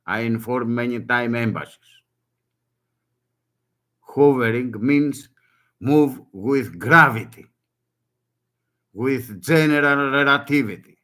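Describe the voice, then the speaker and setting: A man's voice: medium pitch, slow, long pauses, pushed and bright. An older man with a Greek accent, a native Greek speaker, making an urgent public appeal in broken English with short repeated phrases. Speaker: self-made video appellant.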